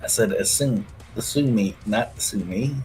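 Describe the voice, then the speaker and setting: A woman's voice: a bass voice, unhurried, dark tone, rough recording. A woman bantering casually and playfully as co-host of a livestream, joking along. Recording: guitar music behind the voice. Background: guitar music.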